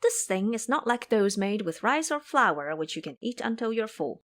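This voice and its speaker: Woman reading as a lecturing male monkey disciple, lively pitch, storybook. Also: moderate pace. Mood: chiding. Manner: scolding, lecturing. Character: teasing elder monkey-king disciple, male.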